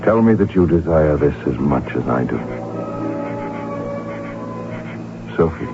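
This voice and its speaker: Deep-voiced royal heir, courteous, smitten, self-deprecating, radio drama with music behind. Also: male voice, expressive, a little fast. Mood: ardent. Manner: courtly, gently teasing. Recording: muffled old radio broadcast, music behind.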